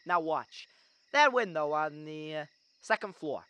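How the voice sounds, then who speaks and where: A young woman acting an earnest, restless young man in a radio drama, with halting, stammered hesitations. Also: sits low, lively intonation, a bit bright, clean tone, no room sound. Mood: playful. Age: young adult. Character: restless, eager young man dreaming of big things. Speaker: student actor.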